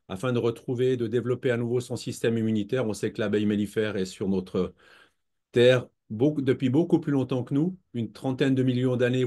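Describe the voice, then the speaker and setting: A man introduces a talk as its host. His voice is soft-edged and dark, at an average pitch. He speaks in a measured way, sometimes repeating a word for emphasis. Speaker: host of a conference series. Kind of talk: introductory remarks.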